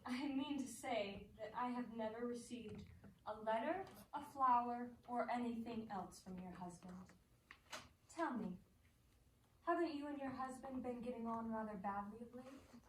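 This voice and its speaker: Woman acting a haughty actress, highish voice. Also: normal speed, close to an everyday voice. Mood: knowing, analytical. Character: self-assured, indignant actress accused of stealing a husband. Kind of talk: one-act stage play acting. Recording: echoey room from far off, noisy.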